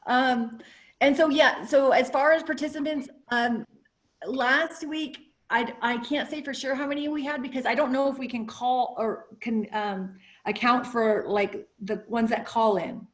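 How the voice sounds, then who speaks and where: Rambling woman host, fairly high voice. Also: steady tone, a little slow. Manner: rambling, many fillers and restarts. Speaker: webinar host. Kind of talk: casual chat before a webinar.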